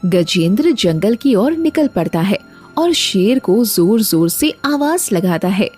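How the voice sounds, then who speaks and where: A woman's voice: medium pitch, big pitch swings, takes it slowly, a little mellow, breathy edge, soft background music. A woman narrator reading a scripted children's story.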